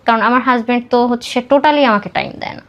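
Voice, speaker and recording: High-voiced Bangladeshi woman telling her story. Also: fast talker, lo-fi sound. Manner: mixes English words into her talk. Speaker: podcast guest. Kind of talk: personal life story told in an interview.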